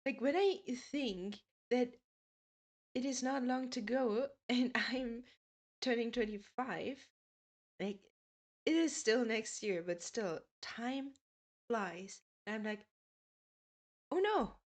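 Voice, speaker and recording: A woman's voice: fairly high pitch, slow, pauses often. A woman in her twenties chatting casually with her stream viewers, laughing often and reading out their messages. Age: 20s. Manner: chatty, giggly, reads chat aloud.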